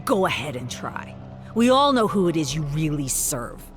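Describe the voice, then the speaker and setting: A narrator voicing a belligerent drunken village watchman, harsh and braying, loud and threatening in a dark fantasy audio tale. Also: mid-range voice, sing-song, moderate pace, soft background music, natural voice. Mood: drunken, hostile.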